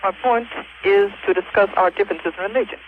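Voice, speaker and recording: A man's voice: high-pitched, fairly even intonation, a little hiss or hum, natural voice. A young male caller putting on an affected accent to play a solemn, cryptic cult grand master. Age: young adult. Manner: slow, solemn, evasive pronouncements. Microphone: phone call into radio show. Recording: muffled phone line on radio.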